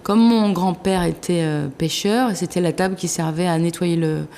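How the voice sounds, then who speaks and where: Fifties woman from réunion, low gravelly voice, chatty and laughing, long reverb. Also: moderate pace. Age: 50s. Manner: laughs often, relaxed and chatty. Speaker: guest. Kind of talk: casual interview chat. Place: TV studio. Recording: reverberant room with faint noise.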